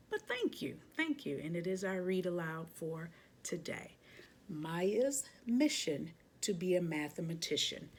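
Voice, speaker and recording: A woman hosting a children's read-aloud, chatting casually and reading a picture book expressively with little asides. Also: medium pitch.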